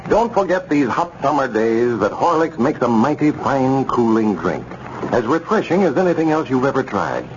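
Male vintage radio announcer, scripted sponsor pitch, lively intonation, muffled old broadcast sound. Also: moderate pace. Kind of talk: 1930s radio advertising and scripted narration. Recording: muffled, noisy 1930s radio recording.

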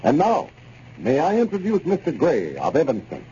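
A man with an American accent announces in formal 1930s radio style. His tone is soft and rounded, his delivery measured. The recording is muffled with a faint hiss. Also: a little high, huge pitch swings.

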